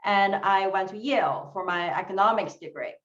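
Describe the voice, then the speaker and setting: A woman's voice: medium pitch, moderate pace, a bit bright. Woman panelist, earnest with fillers; far from the mic in a roomy space, dull lo-fi video-call sound. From China. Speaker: panelist, fintech founder. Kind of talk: panel self-introduction.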